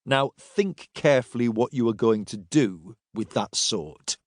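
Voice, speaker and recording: A man voicing a weary, steely male Roman agent in an audiobook, speaking in a measured, dry, firm way. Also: mid-range voice, animated, no echo, natural voice. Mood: firm, reasoning.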